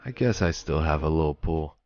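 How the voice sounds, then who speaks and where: A blunt, fatherly retired detective acted by a man from a noir audio drama. He is older and has a low voice that swings widely in pitch. His talk is paternal and full of old-time slang. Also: expressive, brisk, darkish tone, dull audio. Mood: wary, stern. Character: gruff, fatherly retired male police detective.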